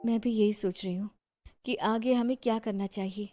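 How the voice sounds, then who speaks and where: Female narrator as resolute educated young wife from the city, firm, reasoned delivery, cartoon story, medium pitch. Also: fairly even intonation, moderate pace, dark tone, soft background music, natural voice. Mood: encouraging, practical. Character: determined, educated young city-bred daughter-in-law. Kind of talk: cartoon moral story dialogue.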